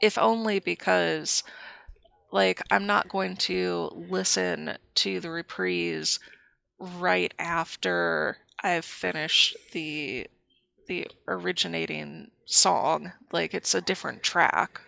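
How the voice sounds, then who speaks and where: A woman co-hosting a podcast in casual chat, a bit sick, speaking slowly and deliberately while searching for words. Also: fairly low pitch, fairly even intonation, gravelly, bright, forceful tone. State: sick with a cold. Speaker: podcast co-host.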